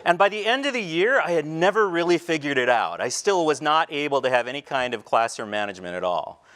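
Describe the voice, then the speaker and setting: Middle-aged man telling stories on stage. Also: medium pitch. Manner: reflective storyteller, shifts between soft emotional beats and light humor. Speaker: teacher giving a talk. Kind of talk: storytelling keynote talk. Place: large stage before a seated audience, indoors. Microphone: headset mic.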